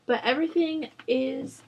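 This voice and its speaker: A young woman host rambling casually over a game build, her voice rough from a sore throat, with lots of fillers. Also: high-pitched, takes it slowly, dull audio, a little hiss or hum. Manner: rambling, chatty, with frequent fillers. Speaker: Sims builder channel host. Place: at home.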